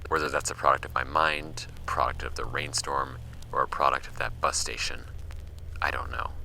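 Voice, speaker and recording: Horror audio drama archivist: rock-bottom pitch, gravelly fry, brooding, deliberate, reading strange case files. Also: male voice, fairly even intonation, sharp tone, a little hiss or hum, heavily acted, rough recording. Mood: weary, resolute. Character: male paranormal case archivist, musing over strange files.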